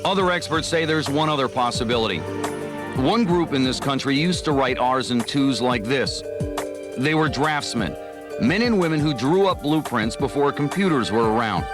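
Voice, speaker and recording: A man's voice: medium pitch, expressive, moderate pace. An American man narrating a scripted television news report as the reporter. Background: music.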